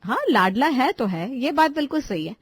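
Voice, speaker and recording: A woman's voice: high voice, sing-song, moderate pace, husky, dry recording, exaggerated voice. A woman voicing an outspoken young daughter-in-law in a cartoon family drama, needling others with mock innocence and sarcasm. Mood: sweetly sarcastic. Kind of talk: animated family drama voice acting in Hindi.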